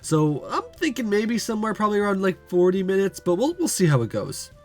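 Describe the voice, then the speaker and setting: A man's voice: sits high, sing-song, brisk, faint music behind. Rambling, joking male game streamer. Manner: rambling, joking, many fillers. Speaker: let's play host. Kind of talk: casual visual novel playthrough commentary.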